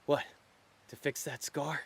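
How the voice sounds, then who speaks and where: A man's voice: takes it slowly, heavy background noise, lightly acted. An actor playing a laid-back, streetwise con man in a noir audio thriller, wry, informal and suspicious. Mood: tense.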